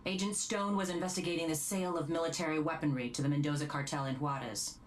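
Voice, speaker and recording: An actress playing a composed, businesslike deputy director, giving a formal briefing in a game drama. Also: female voice, deep voice, fairly even intonation, fast, recorded from a distance, slight background noise, lightly acted. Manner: formal, crisp official briefing.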